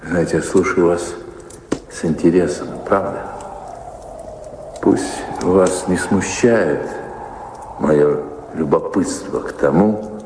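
A courteous, wistful father in a radio drama. The voice is very low and slow, polite and hesitant, set in a reverberant hall over noticeable background ambience. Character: courteous, reserved married father, wistful about unlived adventures. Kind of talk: Russian audio drama acting. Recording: echoey hall, clear background noise.

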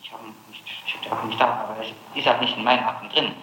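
A noisy archive tape from a very echoey room carries a man's voice of middling pitch. He questions like a prosecutor, haltingly, tacking little questions onto his statements. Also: steady tone. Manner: halting, seeking agreement with tag questions. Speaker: public prosecutor. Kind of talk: spontaneous questioning.

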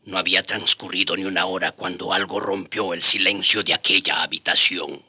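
An actor playing a reminiscing eyewitness who narrates a historical radio drama in a husky voice. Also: male voice, sits low, level tone, fairly quick, pushed and bright, full character voice. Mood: wistful.

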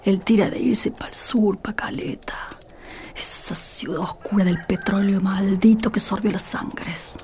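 Creaky-voiced, plaintive country mother played by an actress. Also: mid-range voice, fairly even intonation, measured pace, a little mellow, in a whisper, close to an everyday voice. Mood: bitter, worried. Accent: northwestern Argentine. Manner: earnest, plaintive, rustic. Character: troubled rural mother, humble and earnest goddaughter. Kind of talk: radio drama acting.

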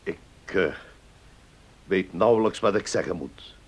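A man's voice: low voice, unhurried, very noisy. An actor playing a stern, embarrassed father in a radio play, speaking formally. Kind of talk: Dutch radio play acting.

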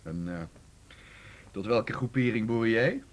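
A woman's voice: rumbling low, unhurried. An actress in an intimate radio play, playing a sleepy, wry woman who murmurs dry, teasing irony to her partner in bed. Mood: drowsy, teasing. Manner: drowsy, murmuring, dryly ironic. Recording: faint clicks and background noise.

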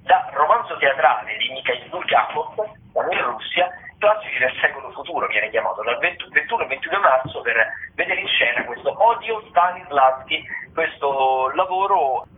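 A man's voice: a little high, animated. Man on narrow, phone-like radio. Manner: halting delivery, reading notes and commenting off the cuff. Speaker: radio host. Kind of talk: theatre listings round-up, semi-scripted radio talk. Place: radio broadcast.

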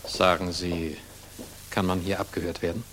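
An actor playing an earnest, anxious older industrialist who speaks formally and pleadingly in a German radio drama. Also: male voice, low voice, level tone, moderate pace, a bit bright. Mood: uneasy. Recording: faintly hissy 1960s mono radio recording.

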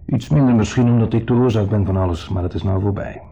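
A man's voice: on the low side, fast talker, mellow, husky. An actor playing a lonely, needy man in a radio drama, insistently friendly and confessional, swinging between eager and downcast. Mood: contrite. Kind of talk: 1970s radio drama acting. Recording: dull 1970s radio recording.

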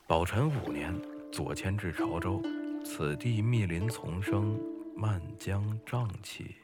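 A man playing a gentle, earnest, bookish boatman in a Chinese period audio drama, easily flustered. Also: sits low, slow, loud music behind the voice. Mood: musing. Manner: earnest, expressive. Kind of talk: Chinese audio drama acting, dialogue and monologue.

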